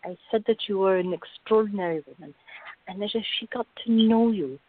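An actress playing a calm, patient, gently firm woman who reasons in measured tones in a radio drama. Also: medium pitch, close to an everyday voice. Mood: reasoning. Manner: measured, placating, explanatory. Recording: tinny, band-limited, echoey room.

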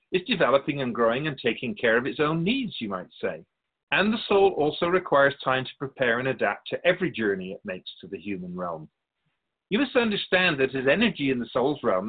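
A man playing a wise, patient otherworldly spirit in a radio drama, explaining things in a measured, gently teasing way. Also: medium pitch, husky, phone-quality sound. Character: Keeper of Souls' Purpose, wise otherworldly spirit, patient and curious.

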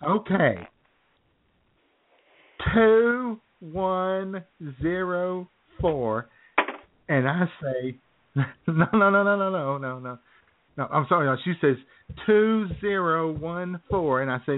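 A man co-hosting a chat show, telling a story in a relaxed way, often chuckling and clearing his throat. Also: fairly high pitch, slow. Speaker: podcast co-host. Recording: phone-like lo-fi audio.

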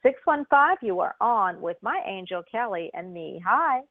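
A woman in her sixties hosting a call-in radio show, excitable and full of restarts. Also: mid-range voice, normal speed, no room sound. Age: 60s. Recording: phone line, dry.

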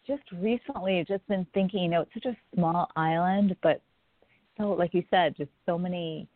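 A guest from Jamaica who works in film, answering interview questions in a thoughtful, measured way. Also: mid-range voice, dry recording. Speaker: filmmaker guest. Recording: dry, phone-like line.

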